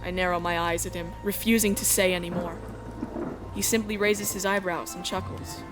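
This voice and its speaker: A woman's voice: on the low side, fairly even intonation, moderate pace, over music, lightly acted. A woman playing an earnest exiled princess, giving first-person narration and dialogue in a game fan dub. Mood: narrating, measured. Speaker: narrator and lead character.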